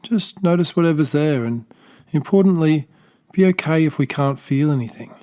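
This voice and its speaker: A man with an Australian accent gently guiding a body scan meditation, speaking softly and slowly with pauses and small restarts. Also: on the high side, animated. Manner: slow, soft-spoken, gentle guidance with pauses. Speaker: meditation teacher.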